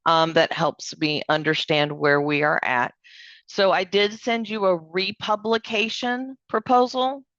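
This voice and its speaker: A woman, hoarse from allergies, explaining a proposal step by step over a video call. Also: fairly low pitch, steady tone, measured pace, a bit bright, no room sound, lo-fi sound. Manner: explanatory, step-by-step, uses fillers. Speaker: code publishing vendor presenting remotely. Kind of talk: remote business presentation.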